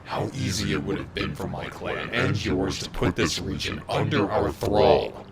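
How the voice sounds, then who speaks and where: A man voicing an arrogant, vengeful male rival werewolf in a dramatic roleplay audio, gravelly, theatrical and formal in his wording. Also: low-pitched, measured pace, over a noisy crowd. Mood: bitter, scornful.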